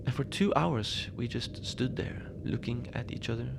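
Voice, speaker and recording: A man playing a mild, unbothered middle-aged station manager in a cozy audio drama, confiding in a halting, rambling, understated way. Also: mid-range voice, gravelly, background noise, lightly acted. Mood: wry storytelling. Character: mild, unbothered lone Antarctic night-shift station manager. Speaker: podcast fiction narrator, lone facility manager on radio.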